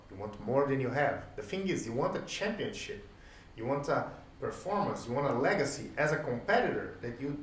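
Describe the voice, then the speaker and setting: A man whose first language is Portuguese, musing aloud as host in a reflective solo talk, pausing often and posing questions to the listener. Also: medium pitch, slight background noise. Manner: thoughtful, pauses often, rhetorical questions. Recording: roomy, distant from the mic, faint ambience.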